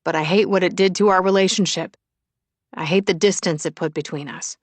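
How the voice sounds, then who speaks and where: A woman's voice: fairly low pitch, fairly even intonation, a little fast, no echo, natural voice. A woman voicing a devoted young firefighter husband in an audiobook, earnest and tender. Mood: earnest, nervous.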